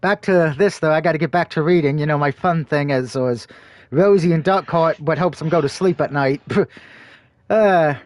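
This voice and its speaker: A man's voice: a little high, normal speed, no room sound. A man streaming a game, chatting casually between read-aloud passages with crude, joking banter. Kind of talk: casual let's-play commentary and reading aloud.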